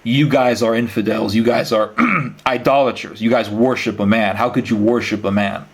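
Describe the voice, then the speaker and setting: A male interviewer with a medium-pitched voice. He speaks conversationally and earnestly, explaining and questioning in halting phrases, often repeating a word before going on. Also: fairly even intonation, vocal fry, husky.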